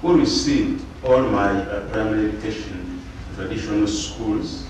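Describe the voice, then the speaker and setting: An older Ugandan man speaks in a formal, measured way with a fairly low voice. He sits back from a table mic, and the room rings with heavy echo over steady hiss. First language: Luganda. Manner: measured, formal, halting with fillers. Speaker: panel speaker. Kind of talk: formal symposium address. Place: lecture hall panel table. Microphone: table mic on stand. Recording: echoey room, distant mic, background hiss.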